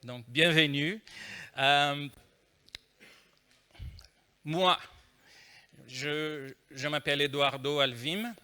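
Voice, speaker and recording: Conference speaker, man, Brazilian accent, slow and bright, joking delivery with fillers. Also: a little high, clear, resonant tone. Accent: Brazilian Portuguese. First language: Portuguese. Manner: joking, hesitant with many fillers. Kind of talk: conference talk.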